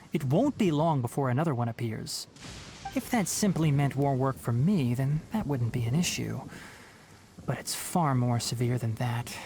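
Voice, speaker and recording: Man as a calm, serious young forest ranger. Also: mid-range voice, a little hiss or hum, close to an everyday voice. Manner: measured, earnest, explanatory. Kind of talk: video game cutscene acting. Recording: music behind the voice.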